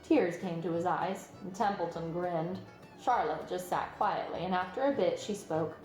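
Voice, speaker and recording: A woman's voice: on the low side, moderate pace, darkish tone. A woman reading a children's story aloud as scripted narration. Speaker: storybook reader. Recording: roomy sound, music behind. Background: music.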